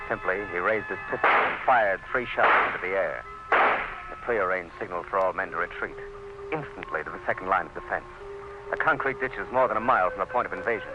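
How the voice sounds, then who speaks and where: An actor playing an earnest, anxious commissioner with a solemn, dramatic delivery, as in an old-time radio drama. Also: male voice, deep voice, muffled recording, lightly acted. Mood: solemn narration. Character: earnest, anxious commissioner recounting a desperate siege. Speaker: narrator and character.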